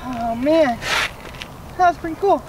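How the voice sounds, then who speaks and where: A young woman with a bright, high voice speaks slowly and encouragingly as she walks through a park. She sounds a little winded from the effort. The camera mic sits some way off, and loud wind noise rushes over it. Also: far from the mic. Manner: encouraging, a little out of breath from walking on crutches. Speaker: fitness vlogger. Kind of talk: casual talk while walking. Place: outdoor park sidewalk, windy. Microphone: camera filming from a distance.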